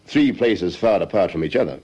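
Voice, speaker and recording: An actor playing a dogged, suspicious police sergeant in an old radio detective drama, measured and politely insistent. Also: male voice, sits low, expressive, darkish tone, slightly rough. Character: dogged, calmly suspicious male police sergeant questioning a suspect. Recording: slightly dull vintage radio recording.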